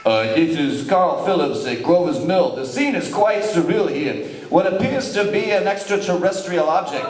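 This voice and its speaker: A man voicing an earnest, hesitant field reporter giving a dramatic eyewitness report in a mock 1930s radio broadcast. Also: high voice. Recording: echoey hall, distant from mic.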